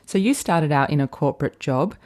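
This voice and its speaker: Low-voiced woman podcast host. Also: expressive, a little fast, darkish tone, dry recording, clean studio sound. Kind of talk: scripted intro then casual interview.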